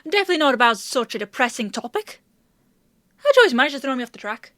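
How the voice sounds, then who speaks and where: A woman's voice: sits high, sing-song, quick pace, a bit bright, no room sound, studio quality. A woman playing a bossy, teasing female apothecary in a fantasy audio roleplay, with a chatty, sardonic, playfully scolding manner. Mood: brisk, bossy.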